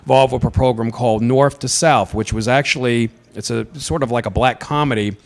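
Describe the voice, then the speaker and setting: A man's voice: medium pitch, fairly even intonation, a little fast. A man working as a voiceover actor, telling casual anecdotes as an interview guest.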